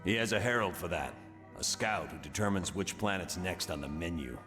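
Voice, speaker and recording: An actor playing a gruff, commanding colonel who briefs a team of heroes in a blunt, authoritative way in a video game. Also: male voice, low-pitched, a little fast, big-room sound, over music, close to an everyday voice. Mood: stern briefing. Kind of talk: video game cutscene voice acting.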